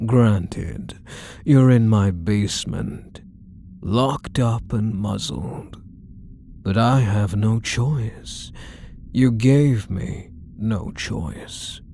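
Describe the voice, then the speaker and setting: A man playing a possessive, obsessively doting kidnapper in an intimate ASMR roleplay, hushed and menacingly tender, often slipping into whispers. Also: lowish voice, gravelly, slight background noise, full character voice. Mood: tenderly obsessive. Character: possessive male yandere kidnapper, obsessively doting on captive spouse.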